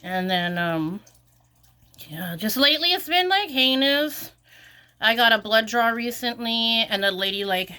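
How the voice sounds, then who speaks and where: A woman's voice: medium pitch, pushed and bright. A sniffly woman rambling through an exasperated casual vlog rant, full of "like" fillers. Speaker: vlogger.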